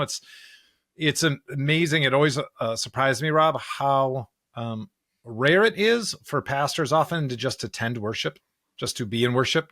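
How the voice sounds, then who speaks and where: A man's voice: medium pitch, fairly bright tone, no room sound, clean studio sound. An American man co-hosting a church podcast, chatting casually and reading scripture. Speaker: podcast co-host and pastor.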